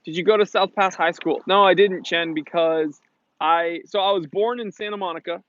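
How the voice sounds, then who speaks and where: A man's voice: high voice, steady tone, normal speed, fairly bright tone, no echo. An American man from New England hosting a casual livestream Q&A, chatting in a slangy, jokey, rambling way. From New England, USA. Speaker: livestream host. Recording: muffled lo-fi audio.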